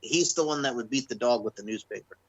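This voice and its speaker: A man's voice: medium pitch, fast, no echo, low-quality recording. Laid-back American man, dry close mic. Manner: laid-back, casual swearing, rattles off film trivia. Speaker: podcast co-host. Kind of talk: casual podcast chat.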